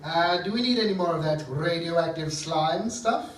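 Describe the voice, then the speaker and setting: A man's voice: fairly high pitch, moderate pace, natural voice. A man playing a fussy, petty evil doctor villain in a stage comedy, with hesitant theatrical delivery. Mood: scheming, fussy. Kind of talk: live stage comedy acting. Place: theatre stage, live performance. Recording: echoey, distant, noisy theatre recording.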